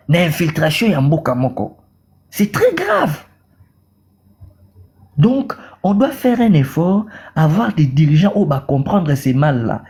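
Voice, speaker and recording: A man's voice: on the high side, warm tone. A man from Congo with a Congolese French accent, speaking passionately in a political debate and repeating words as he goes. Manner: repeats words, mixes in Lingala. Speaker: caller in a debate. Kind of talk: impassioned political talk.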